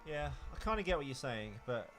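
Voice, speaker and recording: A man's voice: fairly high pitch, sing-song, brisk, a bit bright, faint music behind. A man co-hosting a podcast, chatting casually about films in a joking, self-deprecating way. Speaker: podcast co-host. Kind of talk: casual film discussion chat.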